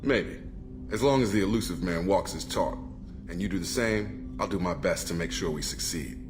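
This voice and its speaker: Game role: man as principled, dutiful crewman, very deep, earnest, clear music underneath. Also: normal speed, close to an everyday voice. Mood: earnest, respectful. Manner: earnest, respectful. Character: sincere, dutiful male soldier crewman, principled and respectful. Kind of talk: video game dialogue acting. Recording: prominent music behind the voice.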